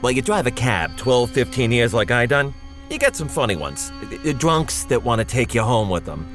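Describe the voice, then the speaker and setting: An actor playing a talkative, wary, working-class cab driver in a radio drama, with slangy, blunt, folksy phrasing. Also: male voice, medium pitch, animated, moderate pace, fairly bright tone, faint music behind, natural voice. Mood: wry storytelling. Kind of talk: old-time radio drama acting and narration.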